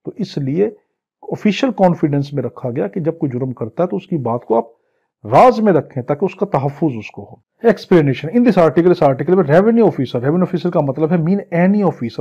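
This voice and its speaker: A Pakistani man with a fairly high, husky voice gives a law lecture. He reads out formal text and explains it piece by piece like a patient tutor working through examples. The recording is dull and lo-fi. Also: warm tone. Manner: didactic, translating phrase by phrase, with acted-out examples. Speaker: law teacher. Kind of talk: exam-prep lecture, reading legal text and explaining it.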